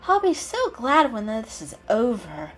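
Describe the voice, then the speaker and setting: A woman's voice: fairly high pitch, wildly swooping pitch, measured pace, faint music behind, pitched above the performer's own voice, full character voice. Woman voicing a grumpy, skeptical female storybook cat. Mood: weary, impatient. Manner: curt, snippy, grudging.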